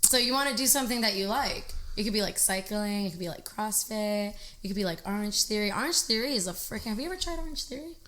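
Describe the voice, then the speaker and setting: A bright, clear-voiced young woman in her twenties talks casually on a podcast couch. She is animated, laughs easily and fills her speech with "like". Recorded on a stand mic in a small living room studio. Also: medium pitch, fast talker, few pauses. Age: 20s. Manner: animated, laughing, says "like" often. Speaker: podcast guest, trucker and fitness trainer. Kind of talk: casual interview chat. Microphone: desk mic on a stand.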